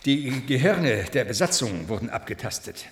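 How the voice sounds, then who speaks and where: A man's voice: mid-range voice, big pitch swings, normal speed. A man playing a cold, clinical research scientist in a science-fiction radio drama, matter-of-fact and pointed. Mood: coolly keen.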